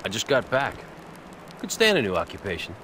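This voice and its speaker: An actor playing a gruff, menacing biker antihero who talks in terse, blunt lines for a video game. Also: male voice, fairly low pitch, huge pitch swings, brisk, noisy background. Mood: cold, blunt. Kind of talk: video game cutscene acting.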